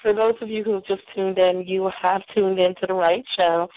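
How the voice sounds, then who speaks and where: A woman's voice: medium pitch, fairly even intonation, normal speed, dry recording. Giggly, effusive female host, heard over a tinny, dry phone line. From Nashville, Tennessee. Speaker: radio talk show host. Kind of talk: casual chat.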